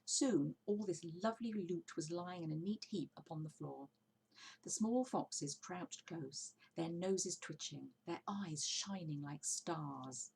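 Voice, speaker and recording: A woman's voice: fairly low pitch, takes it slowly, gravelly, darkish tone, a little hiss or hum. A woman narrating a children's storybook expressively, as a story reader.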